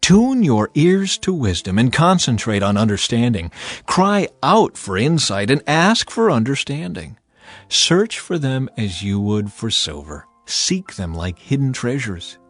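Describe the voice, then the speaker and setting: A man's voice: medium pitch, very animated, unhurried. Man solemnly reading scripture over music. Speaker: Bible narrator. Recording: music behind the voice.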